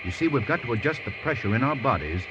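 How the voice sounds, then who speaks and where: An actor playing a calm, protective male hero in a 1940s radio drama, with a steady, reassuring manner. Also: mid-range voice, quick pace, background noise, natural voice. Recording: dull old radio broadcast with reverb.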